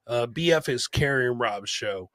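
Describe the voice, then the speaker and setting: A man's voice: mid-range voice, expressive, unhurried, a loud crowd. A man from Michigan with an American accent, hosting a casual live podcast chat in a blunt, brash way and swearing freely. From Michigan, United States. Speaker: host of a live comedy podcast.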